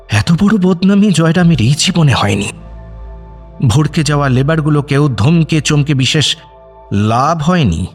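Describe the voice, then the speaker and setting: A man reading dramatic scripted narration as the storyteller of an audio drama. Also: a little high.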